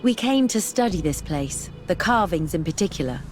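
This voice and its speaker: A woman's voice: on the low side, expressive, normal speed, a little mellow, faint music behind, lightly acted. An actress playing an earnest, composed female scholar in a video game, polite and sincere. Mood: earnest, curious. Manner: polite, clear-headed, sincere. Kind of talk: scripted video game acting.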